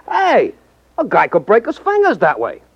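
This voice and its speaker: An actor playing a naive, know-it-all small-time hustler in a 1950s radio drama, talking in streetwise, ungrammatical slang. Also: male voice, sits high, wildly swooping pitch, normal speed, gravelly, breathy edge, dry recording, heavily acted. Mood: eager. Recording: dull vintage 1950s radio recording.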